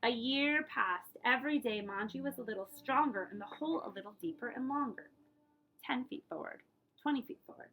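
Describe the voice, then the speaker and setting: A woman reading a picture book aloud like a librarian, with expressive delivery, dramatic emphasis on key words and explanatory asides. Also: on the high side, brisk, a little hiss or hum, rough recording.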